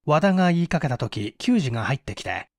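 A man narrates a mystery story in a dramatic, scripted reading style. His voice is on the high side for a man and expressive in pitch. The recording is very dry. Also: brisk, no room sound. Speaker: audiobook narrator, stage performer.

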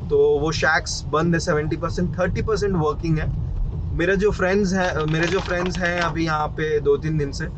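Indian guy travel vlogging, kinda high voice, chatty n repeats himself, noisy road ambience behind. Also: fairly even intonation, fairly quick, dull audio. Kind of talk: casual vlog chat mixing Hindi and English. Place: inside a car and on a rainy roadside. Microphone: camera at arm's length or on dashboard. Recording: noisy vehicle and outdoor ambience.

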